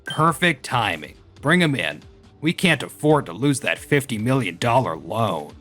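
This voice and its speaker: An actor playing a smug, blustering company director who is prone to panic, in a manga dub. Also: male voice, medium pitch, very animated, measured pace, fairly bright tone, soft background music. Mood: smug, dismissive.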